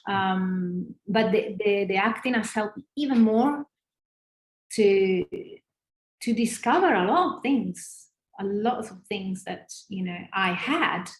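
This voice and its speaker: Brief: woman, 40s, Italian accent, mid pitch, halting casual interview answers, roomy sound. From northern Italy. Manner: hesitant, many fillers and restarts. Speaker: interview guest, former ballerina. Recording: roomy echo.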